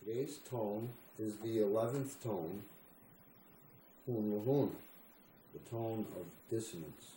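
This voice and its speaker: A man giving a measured, solemn reading of a spiritual text, with deliberate pauses between short phrases. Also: lowish voice, warm tone. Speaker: reader. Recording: roomy echo with faint background noise.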